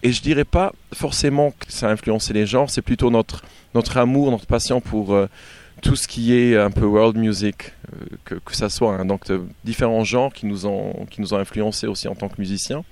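A man being interviewed as a band singer, chatting casually and haltingly, often repeating words as he goes. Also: lowish voice, steady tone, darkish tone, dry recording. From born in Algeria.

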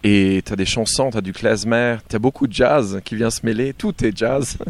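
A man being interviewed as a band singer, chatting casually and haltingly, often repeating words as he goes. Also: lowish voice. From born in Algeria.

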